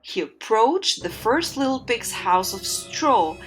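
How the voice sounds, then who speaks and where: A woman narrating a children's fairytale in an expressive storytelling manner. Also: on the high side, slow, over loud music.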